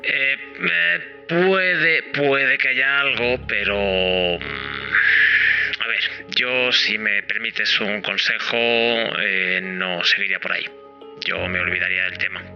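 A man playing an uneasy police archive source who speaks haltingly in a podcast interview. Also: on the low side, gravelly, highs cut off, soft background music. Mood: nervous, wary. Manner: hesitant, halting delivery. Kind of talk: interview in a true-crime style podcast.

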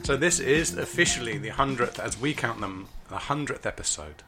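A man in his fifties co-hosting a podcast, chatting casually and jokily with a husky voice after a late night. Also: mid-range voice, animated, moderate pace, over music. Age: 50s. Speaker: podcast co-host. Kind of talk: casual chat. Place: garden summer house.

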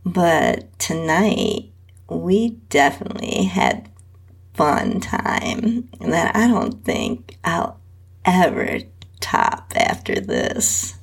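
Female narrator for adult audio fiction: very slow, drawn-out delivery, heavy vocal fry, breathy tone. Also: sits low, huge pitch swings. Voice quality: husky, breathy. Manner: slow, drawn-out reading with whispery dips. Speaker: host and story narrator. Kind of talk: scripted first-person erotic story narration.

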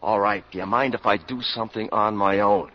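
An actor playing a stubborn, exasperated doctor, impatient and argumentative, in 1950s radio drama style. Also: male voice, on the low side, steady tone, fairly quick, lightly acted. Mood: frustrated. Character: exasperated, stubborn government doctor arguing for action. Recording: noisy, muffled old radio broadcast.

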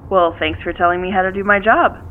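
A woman's voice: medium pitch, steady tone, fast. A woman playing a guarded, businesslike police detective over the phone in a naturalistic audio drama, with a dry, skeptical manner. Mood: curt. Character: guarded, curt female police detective on a call. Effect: telephone filter. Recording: thin phone line with noise.

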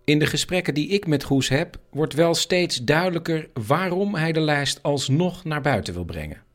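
A man's voice: a little high, lively intonation, moderate pace. A man narrating a radio documentary as its interviewer, reading from a script.

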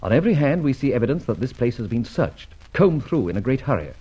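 Suave, husky gentleman adventurer. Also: mid-range voice, big pitch swings, fast talker. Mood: brisk reasoning. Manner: urbane, wry, commanding. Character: suave, self-assured gentleman adventurer and amateur sleuth. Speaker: narrator and lead actor. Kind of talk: vintage radio adventure serial acting. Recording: dull old radio recording, music behind.

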